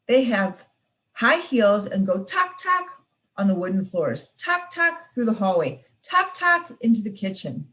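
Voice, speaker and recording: A young woman student reading a children's picture book aloud in an expressive, sing-song way. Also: on the high side, a little slow. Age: young adult. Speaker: student reader.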